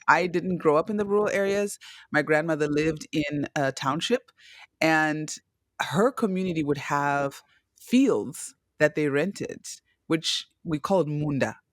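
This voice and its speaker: A woman's voice: sits low, dry recording, studio quality. Woman hosting a podcast. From Zimbabwe. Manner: chatty, affectionate, reads intro aloud. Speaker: podcast host. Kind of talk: casual podcast interview.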